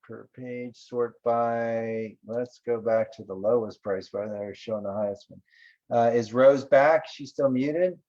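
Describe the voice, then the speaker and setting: A man's voice: mid-range voice, unhurried, mellow, muffled recording. A man hosting an online business webinar, talking casually with many fillers and loose asides. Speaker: webinar host.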